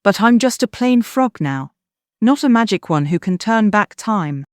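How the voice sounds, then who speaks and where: A woman voicing a greedy, bossy young princess who shouts her demands in a shrill voice. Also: lively intonation, unhurried, no echo, clean studio sound, natural voice. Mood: wistful.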